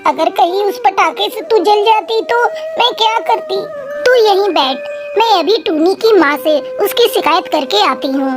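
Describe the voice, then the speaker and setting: A poor mother crow frets and scolds over her daughter, voiced by a woman. The voice sits very high. Cheerful children's music runs behind. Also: animated, unhurried. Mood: scolding. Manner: anxious, scolding, protective.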